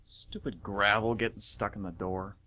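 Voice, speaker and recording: A man's voice: lowish voice, steady tone, normal speed, sharp tone, no room sound. A man playing an ordinary guy in radio theatre, casually muttering to himself. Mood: grumbling. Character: everyday man muttering to himself and his statues.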